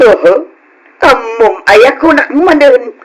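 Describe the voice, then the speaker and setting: A woman's voice: fairly high pitch, lively intonation, slow, poor audio. An actress playing a boastful, mocking middle-aged village woman in an old Ilocano radio drama, with an Ilocano accent and a gossipy, taunting delivery. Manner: taunting, gossipy, exaggerated sing-song swings.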